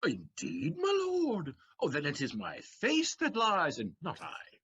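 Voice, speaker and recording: A man playing a quick-witted, cheeky manservant in a comic period play, theatrical and given to stammering evasions, on a slightly dull recording. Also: high-pitched, wildly swooping pitch, a bit bright, slightly rough, full character voice. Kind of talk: comic period stage acting.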